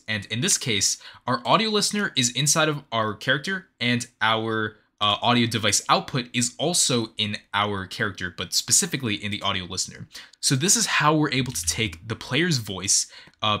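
Male tutorial host, bright voice, very lively intonation, patient step-by-step explanations, casual screen-recording narration. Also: medium pitch. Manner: patient, step-by-step explaining with emphasis on key words. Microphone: microphone, voice-over of screen recording.

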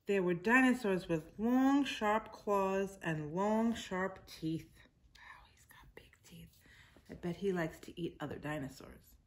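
Slow-paced woman reading to young children. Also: medium pitch. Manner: simple phrases with emphasized words and asides to children. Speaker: teacher reading a picture book to young children. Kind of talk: read-aloud storytime for young children. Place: living room at home, indoors.

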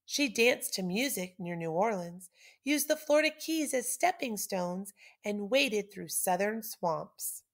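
A middle-aged woman reads a children's storybook aloud in an expressive, swooping voice. She takes her time, stressing words dramatically, with some creak in her voice. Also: medium pitch. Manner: clear, deliberate storytelling with dramatic emphasis. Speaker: teacher reading aloud.

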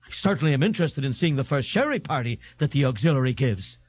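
A man's voice: medium pitch, very animated, fast talker, breathy edge, no room sound, lo-fi sound. A man voicing a pompous, indignant fat man who speaks in a grave, grandiloquent, formal way in an audiobook. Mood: grandly irritated.

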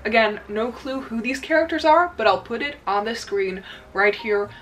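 A woman's voice: a little high, normal speed, slight background noise, rough recording. A woman in her twenties with a Dutch accent, chatting casually and enthusiastically as a book vlogger in a small room. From Netherlands. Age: 20s. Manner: enthusiastic, expressive. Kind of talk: casual book haul vlog. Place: small cosy bedroom, indoors.

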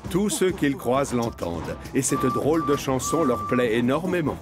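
A man's voice: medium pitch, sing-song, moderate pace, loud music behind the voice. A man narrating a scripted children's cartoon story.

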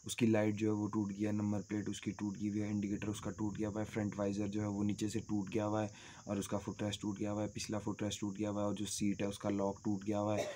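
A young man in his twenties, injured and subdued, talking fast to a phone camera held at arm's length in a small room, with frequent "bhai" and "yaar". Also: lowish voice, warm tone. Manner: fast, repetitive, says "bhai" and "yaar" often. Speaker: motovlogger. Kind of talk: casual vlog talk to camera.

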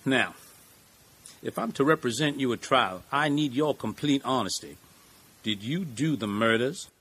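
A man performs a middle-aged small-town lawyer for an audio drama. The voice is husky and swings widely in pitch. He is genial and eccentric, taking his time like a folksy storyteller. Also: animated, a bit bright, exaggerated voice. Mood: genial, coaxing. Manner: folksy, rambling, deliberate storyteller.

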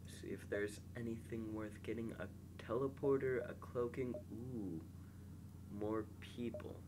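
A young man with a slightly nasal, congested voice, calmly commentating a space game and reading its text aloud while muttering to himself. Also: mid-range voice, unhurried, background noise. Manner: relaxed, mumbles quietly to himself while playing. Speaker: gameplay host.

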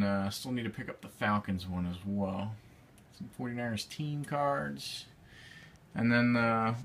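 A man's voice: lowish voice, fairly bright tone, slight background noise. A man casually narrating a tour of his collectibles room at home, rambling with frequent fillers, recorded on a handheld phone. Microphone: handheld phone camera.

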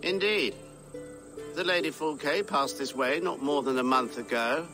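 An actor playing a smug, ambitious middle-aged abbot in a video game, jovial, unctuous and pompous. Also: male voice, fairly high pitch, unhurried, music in the background. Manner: unctuous, pompous, savouring words. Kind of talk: video game cutscene acting.

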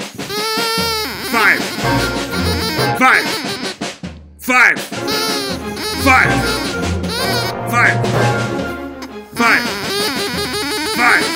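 Guy doing a gloomy kid-like sock puppet, crazy slow and swoopy, loud music over it. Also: high voice, full character voice. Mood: excited. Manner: halting, deadpan, simple broken phrasing. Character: gloomy, childlike absurd male sock puppet would-be rocker. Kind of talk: absurdist puppet-show comedy.

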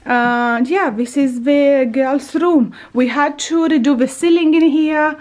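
A woman's voice: high-pitched, slow pace, darkish tone. A woman in her thirties casually narrating a tour of her house, chatty and often correcting herself as she walks through the rooms. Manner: chatty, self-correcting, walks while filming. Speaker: vlogger giving a house tour. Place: bathroom and bedroom of a house, indoors. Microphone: handheld camera.

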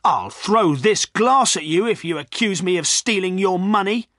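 A man's voice: sits high, normal speed, dry recording, clean studio sound, pitched above the performer's own voice. A man voicing a jumpy, defensive village poacher in a storybook. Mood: indignant. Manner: excitable, defensive.

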